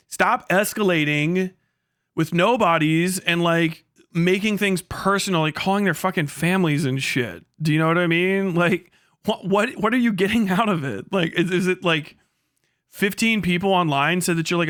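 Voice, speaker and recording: A man's voice: sits high, fairly bright tone, no room sound, studio quality. A man livestreaming a casual rant in a blunt, sarcastic, heavily profane way. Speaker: live streamer.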